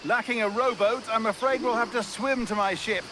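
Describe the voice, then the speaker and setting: A man playing a timid, effusive gentleman merchant in a video game, speaking with polite, old-fashioned phrasing. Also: very high voice, normal speed, noisy background. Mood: relieved, chatty. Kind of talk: dramatic video game acting.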